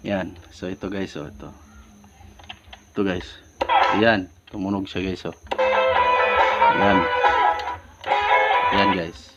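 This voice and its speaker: A man's voice: sits low, little pitch movement, takes it slowly, long pauses. A man giving an informal hands-on tutorial, repeating himself and often addressing his viewers. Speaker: DIY electronics tutorial host. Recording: dull lo-fi recording with loud music. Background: music.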